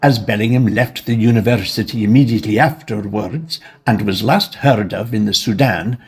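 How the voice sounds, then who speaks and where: A male actor plays a solemn author-narrator of a Victorian horror tale. His voice is husky, and his scripted delivery is measured and grave, as in an audio drama. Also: medium pitch.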